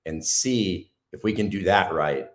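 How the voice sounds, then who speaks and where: Low, raspy-voiced man. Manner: halting, lots of ums and restarts. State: hoarse from days of talking. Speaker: guest. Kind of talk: casual interview talk.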